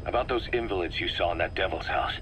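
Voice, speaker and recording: A man playing a composed military officer in a video game, giving his commander a measured, methodical briefing. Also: sits low, close to an everyday voice. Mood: measured, serious. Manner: measured, analytical, methodical delivery. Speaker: intelligence and base officer reporting to his commander. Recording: faint background noise, cassette briefing tape.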